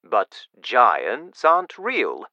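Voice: medium pitch, wildly swooping pitch, drawn-out words, dry recording, highs cut off, heavily acted. A reader voicing an earnest, troubled grown man, the King of a country in a storybook. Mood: disbelieving.

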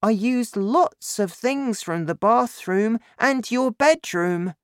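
A woman's voice: a little high, animated, slow pace, dry recording, clean studio sound, voice pushed up. A woman voicing a polite, earnest young boy in a children's storybook, questioning and pleading in a worried way.

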